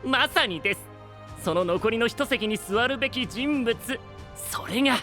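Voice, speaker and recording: Bright, theatrical, courteous knight. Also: male voice, very high voice, lively intonation, measured pace, big-room sound, faint music behind, exaggerated voice. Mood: delighted. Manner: polite speech, playful and grandiose. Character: theatrical, teasing, courteous male knight storyteller. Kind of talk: Japanese anime audio drama acting.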